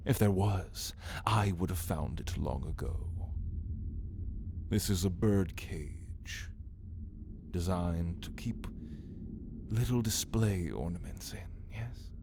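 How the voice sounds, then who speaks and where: A man's voice: deep voice, takes it slowly, vocal fry, long pauses, darkish tone, slight background noise, full character voice. A man playing a brooding, menacing caged vampire in an ASMR roleplay, speaking in a theatrical, taunting way with archaic wording. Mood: sinister, taunting. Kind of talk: ASMR roleplay, dramatic monologue to listener.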